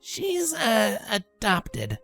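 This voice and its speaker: A woman's voice: fairly high pitch, big pitch swings, takes it slowly, fairly bright tone, no room sound, soft background music, pitched above the performer's own voice, full character voice. A woman voicing a brash but sheepish young female pegasus athlete, hesitant and protesting, in a story.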